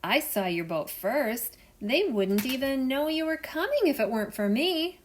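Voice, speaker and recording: A woman's voice: sits high, expressive, a little slow, slight background noise, pitched above the performer's own voice. A woman voicing a dolphin that brags about its hearing and diving, in a read-aloud storybook. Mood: bragging. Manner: eager, bragging. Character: eager bragging dolphin.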